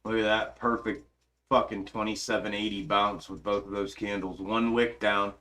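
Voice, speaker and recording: A man's voice: fairly low pitch, little pitch movement, normal speed, bright, forceful tone. A man hosting a stock market live stream, talking casually and emphatically over his charts, swearing freely and reading chat aloud, grew up in western Pennsylvania but spent his adult life in the South. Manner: profane, emphatic, restless, trails off while working charts. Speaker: stock market livestream host.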